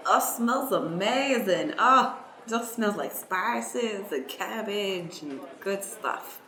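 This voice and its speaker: A woman's voice: medium pitch, lively intonation, takes it slowly, a crowd in the background. Woman playing an inquisitive lawyer in an echoey room. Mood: curious. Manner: clipped phrasing, dropped articles. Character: inquisitive lawyer with clipped, broken-English speech. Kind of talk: improvised comedic radio play. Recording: roomy echo, audience noise.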